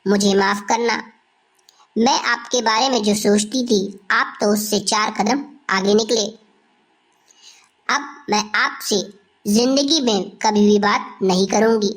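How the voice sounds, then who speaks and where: Woman as a slow-talking, jealous schoolteacher. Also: medium pitch. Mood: hurt, scornful. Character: suspicious, jealous woman schoolteacher, accusing and proud. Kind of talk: scripted cartoon dialogue.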